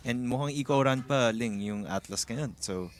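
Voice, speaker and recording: A man's voice: medium pitch, moderate pace. A man with a Filipino accent giving live analysis as an esports caster, switching between two languages. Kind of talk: live game analysis commentary. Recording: noisy audio with clicks.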